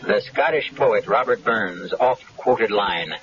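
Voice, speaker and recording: A male host narrates in a measured, authoritative way between acts of a radio mystery. The old broadcast is dull and muffled, with music playing clearly beneath him. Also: lowish voice, expressive. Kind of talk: scripted narration.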